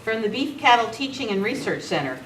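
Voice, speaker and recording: A woman's voice: on the high side, sing-song, brisk, a bit bright. Woman acting polite concerned president, swooping intonation, radio play reading, far from mic. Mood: gracious, businesslike. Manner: formal, polite. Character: gracious, concerned female university president. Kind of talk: amateur old-time radio script reading. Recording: roomy, distant camera mic, faint noise.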